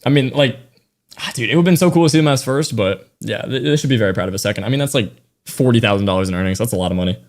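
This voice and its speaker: A young male streamer, hoarse and tired after hours of yelling, talks to his chat in a loose, slangy way at a desk mic. His voice is mid-pitched and a little raspy, and he drifts through half-finished thoughts. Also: very fast. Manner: loose, thanks donors, slang-heavy, trails off. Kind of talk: casual livestream chat to viewers.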